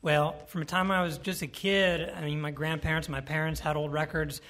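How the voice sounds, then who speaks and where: A man from Ohio with a noticeably nasal voice, pitched a bit higher than most men's. He is a country musician talking through his background in a relaxed, rambling interview. His stories wander, with friendly asides. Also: moderate pace. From Ohio, USA. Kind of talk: casual interview talk.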